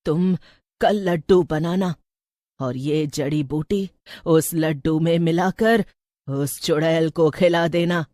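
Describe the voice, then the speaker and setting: Older village lady, woman acting, cartoon story, wise n cautioning, lowish slow voice. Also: warm tone, no room sound, clean studio sound. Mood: grave, advising. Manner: measured, advising. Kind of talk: animated Hindi story acting.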